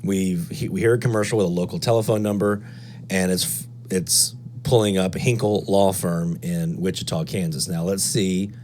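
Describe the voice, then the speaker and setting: Low-pitched male tutorial presenter, casual, reasoning aloud step by step, slight hum and noise behind. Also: fairly even intonation, moderate pace, a little mellow. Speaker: presenter of a radio DXing tutorial. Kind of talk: casual screen-walkthrough tutorial.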